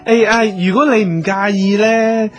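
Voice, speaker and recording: A man with a Hong Kong accent playing an excitable, fussy talent manager in a comic radio drama, hesitant and flustered. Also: sits high, normal speed, lightly acted. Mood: businesslike, probing. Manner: hesitant, flustered, full of exclamations.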